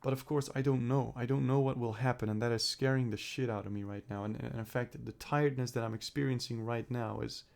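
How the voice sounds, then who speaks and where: A man's voice: mid-range voice, steady tone, fairly quick, gravelly, few pauses, darkish tone. A young man recovering from a cold, rambling and swearing as he thinks aloud in a personal video diary. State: recovering from a cold, throat clearing up. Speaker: vlogger.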